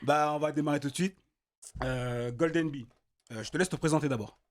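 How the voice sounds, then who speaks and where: A man's voice: fairly high pitch, brisk. A man hosting a casual interview show, upbeat and slangy, often laughing. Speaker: host.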